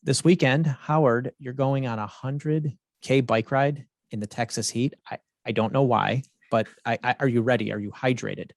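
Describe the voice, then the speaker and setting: A man hosts a podcast in a measured, steady way, with a voice of ordinary middle pitch. The sound is dry and close, with no room echo. He reads intros and puts questions to a guest at an even pace. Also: gravelly. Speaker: podcast host. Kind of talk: podcast hosting.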